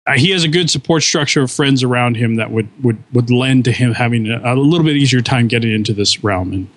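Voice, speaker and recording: A man co-hosting a casual gaming podcast, relaxed and halting, often repeating his words. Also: mid-range voice, no room sound. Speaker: podcast co-host.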